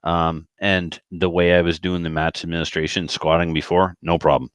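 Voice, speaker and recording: Low-voiced man in casual podcast chat. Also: level tone, normal speed, smooth, no echo. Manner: rambling, colloquial, with acted-out quotes. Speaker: podcast speaker who organizes shooting matches.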